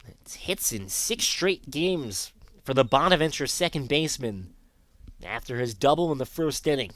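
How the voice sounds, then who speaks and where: A man's voice: medium pitch, animated, normal speed, fairly bright tone, no room sound. A man calling live baseball play-by-play as the announcer of a sports broadcast.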